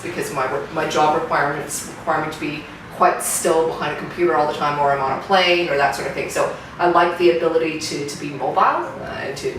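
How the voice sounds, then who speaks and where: A woman's voice: sits low, fairly bright tone. A middle-aged woman with a Canadian accent, answering interview questions in a thoughtful, reflective way with frequent restarts. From Singapore. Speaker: interviewee, fitness client. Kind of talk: casual interview testimonial. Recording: echoey room from far, with background noise.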